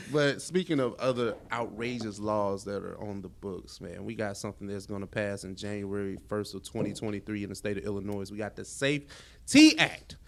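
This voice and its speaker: A man's voice: on the low side, moderate pace, vocal fry. An American man co-hosting a podcast, talking casually with an American accent. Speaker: podcast co-host. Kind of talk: casual chat.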